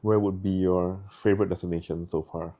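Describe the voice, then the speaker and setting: A man hosting an interview, asking questions in a hesitant way with many pauses. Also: low voice, steady tone, warm tone. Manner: hesitant, with fillers and restarts. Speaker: interview host. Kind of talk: casual interview chat.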